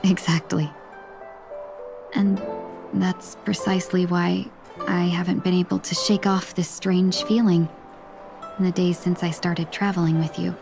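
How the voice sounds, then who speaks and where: An actress voicing a gracious, refined young woman in a video game, speaking politely in a measured, gentle, wistful way. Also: lowish voice, level tone, creaky. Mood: wistful, troubled. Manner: polite, measured, softly formal. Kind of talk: English video game voice acting. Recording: game audio with music underneath. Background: background music.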